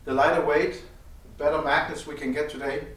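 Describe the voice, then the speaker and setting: A man who speaks as a gives a halting technical explanation of audio gear as its designer. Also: a little high, level tone, fairly bright tone. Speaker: loudspeaker designer presenting gear at an audio show. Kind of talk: technical product explanation in conversation. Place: indoor demo room at an audio show. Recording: echoey room, distant mic, faint noise.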